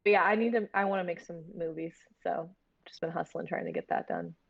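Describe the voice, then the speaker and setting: A woman's voice: fairly low pitch, steady tone, a little fast, vocal fry, slightly rough, dull audio. A woman chatting casually and candidly as an interview guest, talking like a filmmaker about her work.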